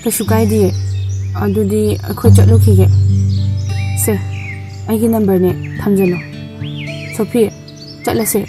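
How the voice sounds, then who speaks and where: A woman's voice: medium pitch, steady tone, very fast, pauses often, lightly acted. A woman with a Manipuri accent playing a lovestruck young college student in an audio drama. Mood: friendly, eager. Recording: loud music and birdsong behind. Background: music, birds and crickets.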